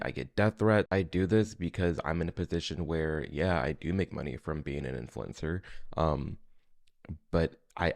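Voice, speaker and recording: A young American man with a low, deep voice talks casually to his audience as a host. He delivers reaction commentary in a conversational, off-the-cuff style. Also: gravelly, a little mellow. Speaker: reaction video host, influencer.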